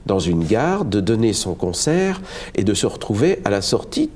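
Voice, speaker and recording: A very deep, husky male voice that moves through a wide, expressive melody. He talks as a guest musician from Le Havre, earnest and reflective. He often pauses and restarts his phrases as he searches for the right word. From France, Le Havre. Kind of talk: studio cultural discussion.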